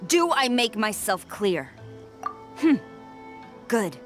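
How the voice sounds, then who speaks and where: A proud female military commander from a game, with a fairly high voice. She is stern and loyal, formal and earnest in her delivery. Music plays faintly behind her. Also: sing-song, takes it slowly, fairly bright tone, heavily acted. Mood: stern, warning. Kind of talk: video game voice acting.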